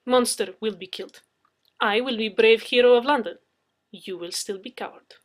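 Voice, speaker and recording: A woman's voice: on the high side, normal speed, close to an everyday voice. A proud, fearless foreign woman in an audiobook tale, acted by a female reader. She speaks in blunt, broken English, dropping little words, with a scolding and commanding manner. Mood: defiant, mocking. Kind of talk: dramatic audiobook character reading.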